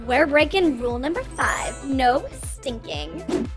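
Woman voicing a squeaky excitable sister. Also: slow pace, over music, full character voice. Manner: bubbly, excitable. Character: excitable young sister joining mischief.

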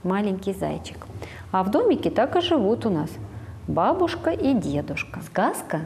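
A woman's voice: mid-range voice, sing-song, vocal fry, darkish tone, big-room sound, slight background noise. Sing-song woman coaxing a child. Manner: slow, sing-song, prompting the child to finish words. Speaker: speech therapist. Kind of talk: telling a fairy tale to a small child. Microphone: lapel mic.

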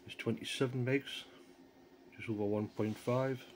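A man's voice: medium pitch, fairly even intonation, measured pace, a little hiss or hum. A man giving informal, off-the-cuff commentary in a casual hands-on hobby video. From North East England. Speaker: amateur radio hobbyist demonstrating equipment. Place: outdoors in a yard, then a small living room. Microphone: handheld phone camera behind the view.

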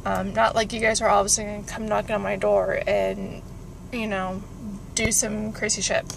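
A woman's voice: mid-range voice, level tone, normal speed. A woman, chatting in an informal, rambling way for a car vlog, recorded on a phone inside a car. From California, United States. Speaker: vlogger. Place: inside a parked car with engine warming up. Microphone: phone at arm's length. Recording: car interior with clear background noise.

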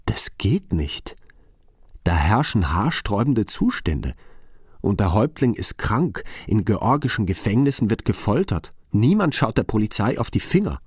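Radio drama, grave male investigator, deep, dry close sound, terse, concerned. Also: big pitch swings, a little slow, a little mellow, husky, no room sound, heavily acted. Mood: worried.

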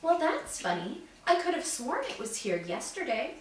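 Echoey, expressive storybook guesthouse keeper voiced by a woman. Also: high voice, normal speed, voice pushed up. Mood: puzzled. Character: friendly, puzzled woman running a guesthouse. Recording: echoey room, distant mic, background noise.

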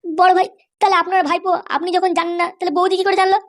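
Man playing a rapid-fire, squeaky-high cartoon henchman. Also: level tone, a bit bright. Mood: worried. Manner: eager, deferential, complaining. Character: deferential, chattering male henchman of a gangster boss. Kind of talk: comedy cartoon dialogue.

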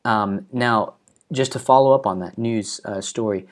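A man's voice: fairly low pitch, unhurried. A young man from Hawaii in his twenties, talking earnestly to a webcam in his living room as he walks through the latest news and stresses the key numbers. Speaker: video host. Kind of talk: explanatory news commentary. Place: living room at home, indoors.

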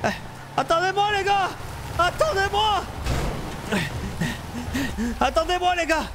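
High-voiced excitable man over game noise. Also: measured pace. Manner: excitable, theatrical. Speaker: streamer playing a roleplay game. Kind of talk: in-character improvised game roleplay. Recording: noisy game sound behind voice.

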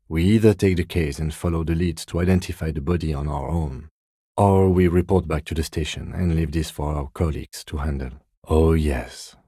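An actor playing a composed, dry police detective in a video game, speaking in a measured, restrained, matter-of-fact way with a soft husky edge. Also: male voice, extremely low pitch, no room sound. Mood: calm, analytical. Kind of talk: video game dialogue acting.

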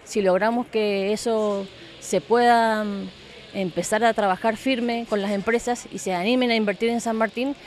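A woman's voice: medium pitch, steady tone, normal speed. Argentine woman interviewed outdoors, faint ambient noise. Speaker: city councillor being interviewed. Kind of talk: spontaneous interview answer. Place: outdoors by a building. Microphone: handheld reporter microphone.

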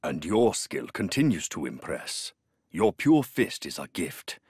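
A man playing a serene, wise old yoga master in a video game, speaking in a measured, solemn way. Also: low-pitched, sing-song, a little mellow, husky, no room sound, clean studio sound, full character voice. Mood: serene, approving.

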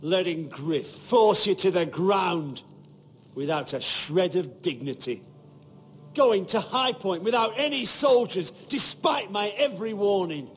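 An actor playing a bitter, defiant castle knight in a fantasy video game, scornful and forceful. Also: male voice, high voice, expressive, a little slow, soft background music. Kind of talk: dramatic video game acting.

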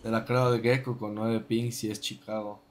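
A man's voice: on the low side, little pitch movement, brisk, a little mellow. A man, joking crudely and giving callouts as a teammate in a game. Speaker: teammate on voice chat. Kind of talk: casual gaming callouts. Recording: voice chat with clear background noise.

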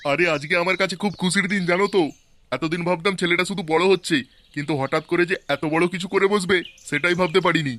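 A man voicing excitable, grumbling village men in a colloquial style for a comedy cartoon. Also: high-pitched, quick pace, soft background music. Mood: proud. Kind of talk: Bengali comedy cartoon voice acting.

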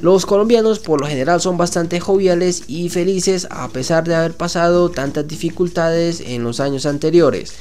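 A man's voice: a little high, normal speed, few pauses, darkish tone, a little hiss or hum. A man narrating a scripted numbered list video as its host, lifting his voice at each new item. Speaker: channel host. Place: voice-over over stock footage.

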